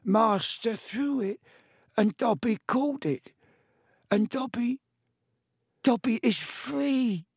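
A man voicing a small, timid male house-elf, slow and wondering in a high squeaky voice. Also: gravelly, breathy edge, no room sound, clean studio sound, heavily acted. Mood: awed.

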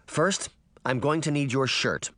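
A man's voice: medium pitch, measured pace, a bit bright, dry recording, pitched above the performer's own voice, lightly acted. Man reading as a bold, decisive girl. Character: bold, bossy young girl taking charge. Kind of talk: audiobook character dialogue.

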